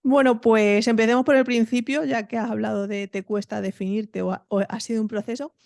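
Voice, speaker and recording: A woman's voice: on the high side, fairly even intonation, fairly quick, creaky, darkish tone, dry recording, clean studio sound. A Spanish woman hosting a podcast, friendly and upbeat, laughing easily as she introduces and interviews. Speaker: podcast host.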